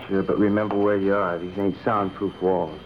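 An actor playing a defiant teenage suspect, answering with resentful, sarcastic backtalk in an old radio crime drama. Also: male voice, low-pitched, level tone, moderate pace, noisy background, close to an everyday voice. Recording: tinny old radio broadcast.

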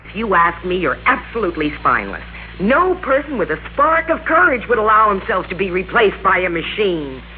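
A woman's voice: medium pitch, big pitch swings, fairly quick, narrow, tinny audio, lightly acted. A woman acting a scornful, domineering wife in an old-time radio drama, scolding with fierce emphasis. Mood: scornful, goading. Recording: band-limited old radio recording, faint noise.

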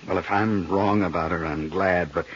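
A man's voice: low voice, steady tone, normal speed, breathy edge. An actor playing an anxious, shaken, well-meaning office man with a hesitant, worried delivery in a 1950s radio drama. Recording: muffled, hissy vintage radio recording.

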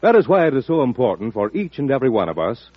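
A man's voice: medium pitch, very animated, fast talker, slightly rough, dry recording. A man working as a radio announcer, reading a scripted 1940s public service appeal in a formal, persuasive way. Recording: dull, dry vintage radio recording.

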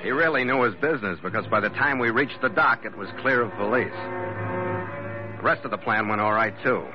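An actor playing a tough, wisecracking ship's captain with an American accent in a hard-boiled old radio adventure, sardonic and slangy. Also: male voice, lowish voice, fairly quick, loud music behind the voice, natural voice. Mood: narrating coolly. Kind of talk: hard-boiled 1940s-50s radio acting and narration. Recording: dull vintage radio recording.

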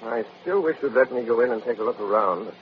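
A man playing a calm, resolute gentleman sleuth in an old radio drama, speaking with measured assurance. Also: medium pitch, vocal fry, highs cut off. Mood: determined, calm. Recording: band-limited vintage radio recording, faint noise.

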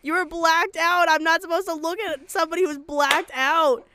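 A young woman co-hosting a casual podcast, hoarse from a sore throat, joking and laughing often. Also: extremely high pitch, steady tone, normal speed, bright, forceful tone, a little hiss or hum. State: hoarse, losing voice after strep throat. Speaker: podcast co-host.

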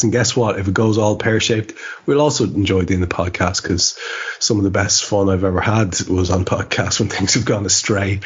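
A man's voice: low-pitched, husky. An Irish man hosting a podcast in a casual, chatty and rambling way with a wry edge. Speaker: podcast host. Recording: dull, muffled lo-fi audio.

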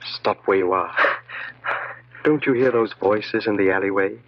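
A man's voice: lowish voice, normal speed. An actor playing a commanding, mocking evil genius that speaks within a man's mind, in 1940s radio horror style. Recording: muffled old radio recording.

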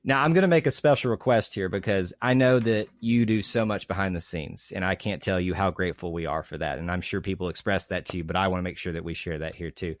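A man's voice: deep voice, no room sound. A man hosts a friendly interview and keeps praising his guest. He often restarts his sentences, and the recording sounds dry and close. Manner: warm, appreciative, halting restarts. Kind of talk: casual interview chat.

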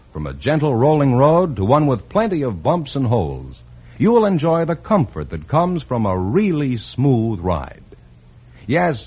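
A man reading a scripted radio commercial in a salesman's pitch. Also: breathy edge. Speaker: radio announcer. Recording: lo-fi 1950s radio broadcast.

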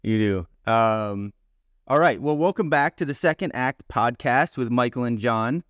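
A man's voice: mid-range voice, animated, a little slow, a bit bright, clean tone, dry recording, studio quality. A man co-hosting a podcast in a casual, conversational style. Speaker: podcast co-host.

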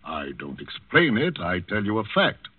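An actor playing a grave, composed personal physician with a measured, dignified manner, in 1940s radio drama style. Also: male voice, on the low side, wildly swooping pitch, slightly rough, no room sound, heavily acted. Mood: grave insistence. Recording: muffled vintage 1940s radio recording.

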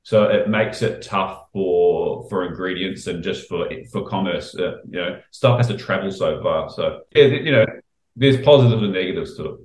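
Middle-aged Kiwi chef, casual and rambling, slightly low pitch, roomy echo on the mic. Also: male voice, normal speed. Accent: New Zealand. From New Zealand. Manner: relaxed, rambling, frequent fillers and restarts. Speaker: chef guest. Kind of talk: casual interview chat.

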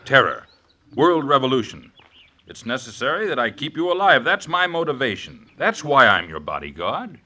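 An actor playing an intense, thrill-hungry bodyguard in an old-time radio drama, speaking emphatically. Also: male voice, mid-range voice, very animated, moderate pace, sharp tone, full character voice. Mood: fervent. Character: rich, intense young bodyguard hungry for excitement.

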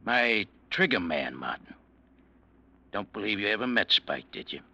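An actor playing a menacing, sardonic crime boss in an old-time radio crime drama, speaking in slangy veiled threats. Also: male voice, fairly low pitch, very animated, moderate pace, gravelly, pushed and bright, slightly rough, full character voice. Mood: sly menace.

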